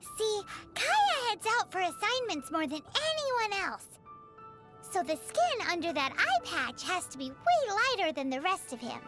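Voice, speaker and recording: Tiny game fairy, extremely high squeaky childlike voice, bouncy intonation, cheeky, excitable, music behind. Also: female voice, a little slow, heavily acted. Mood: cheeky, gossipy. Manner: refers to self in third person, emphatic. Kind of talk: video game character dialogue.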